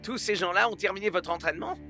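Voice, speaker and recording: A man's voice: high-pitched, quick pace, pushed and bright, faint music behind. A man voicing a cocky, easily flustered young footballer in a cartoon, exclaiming and wisecracking. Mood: cocky, curious. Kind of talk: cartoon dub acting.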